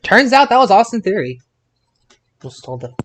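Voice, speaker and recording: A man hosting a casual wrestling podcast, speaking haltingly and repeating words as he searches for phrasing. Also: sits high, very animated, slight background noise. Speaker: podcast host.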